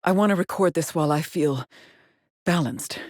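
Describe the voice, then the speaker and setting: A woman playing an anguished, trapped astronaut in a dramatic video game, with a husky, breathy voice and a halting, haunted delivery. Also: on the low side, a little mellow, no echo, studio quality, natural voice. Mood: grave, resolved.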